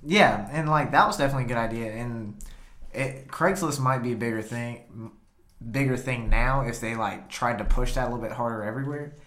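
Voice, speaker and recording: A man's voice: mid-range voice, fairly quick. Laid-back male co-host. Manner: relaxed, filler-heavy, laughs easily. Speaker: podcast co-host. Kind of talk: casual podcast chat. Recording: echoey room.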